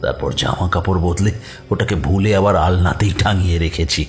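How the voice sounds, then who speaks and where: A man's voice: low-pitched, moderate pace, natural voice. An actor playing an aggrieved, evasive male believer in the occult, protesting and justifying himself emotively in an audio mystery. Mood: evasive, explaining. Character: aggrieved, evasive gentleman who believes in tantric magic. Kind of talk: dramatised Bengali mystery audio story. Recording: music behind the voice.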